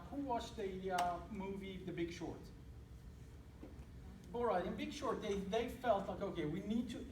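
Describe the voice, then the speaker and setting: A man's voice: high voice, noisy background. Iranian-accented male presenter in his thirties, hesitant and filler-heavy, echoey room with a distant mic. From Iran. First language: Persian. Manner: hesitant, many fillers and restarts. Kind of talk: casual talk to an audience. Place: hall with audience.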